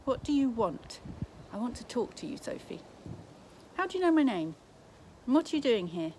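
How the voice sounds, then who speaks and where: Woman reading as young girl, frightened but defiant, pitched up, noisy outdoor recording. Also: very animated, moderate pace. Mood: indignant. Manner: startled, indignant, questioning. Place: garden outdoors.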